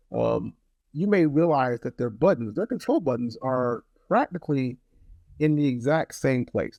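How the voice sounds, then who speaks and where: A man's voice: medium pitch, warm tone, dry recording. A man talking casually to viewers in a rambling, blunt product review, often repeating phrases for emphasis. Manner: opinionated, blunt.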